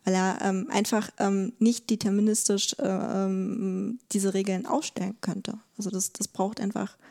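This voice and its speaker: Mellow, creaky-voiced woman explaining. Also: medium pitch, level tone, unhurried. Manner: hesitant, searching for words. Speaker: legal expert guest. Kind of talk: explanatory conversation.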